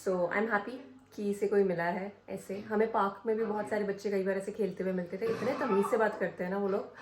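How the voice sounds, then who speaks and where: A woman's voice: medium pitch, fairly even intonation, fairly quick, a crowd in the background. A woman, chatting casually to her vlog audience about her family and day. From India. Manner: chatty, mixes in English phrases. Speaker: vlogger.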